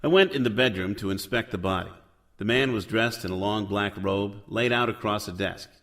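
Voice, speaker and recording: A man's voice: fairly low pitch, normal speed, fairly bright tone, natural voice. An actor playing a hard-boiled private detective who narrates his case in a noir audio drama. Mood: moody, storytelling. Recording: music under the voice.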